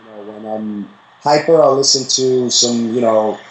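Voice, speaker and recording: Young man, interview guest, loose and rambling, middle pitch, echoey room. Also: unhurried, slight background noise. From the Bronx, New York. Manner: relaxed, rambling, many fillers. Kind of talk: casual interview chat.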